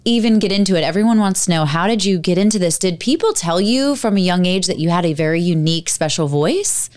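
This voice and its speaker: A woman's voice: lowish voice, animated, fast, darkish tone. A woman co-hosting an interview, asking friendly, encouraging questions. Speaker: podcast co-host. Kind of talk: casual interview chat.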